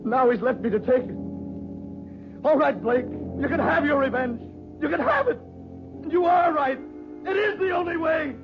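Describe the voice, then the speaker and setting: An actor playing a guilt-ridden, haunted murderer in a halting, anguished old-time radio drama style. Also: male voice, extremely high pitch, expressive. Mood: frantic surrender. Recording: muffled vintage radio recording with music.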